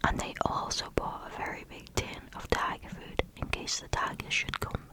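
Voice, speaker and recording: A woman reading children's stories in a soft bedtime whisper. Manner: soft unvoiced whisper, slow and sing-song. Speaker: storyteller.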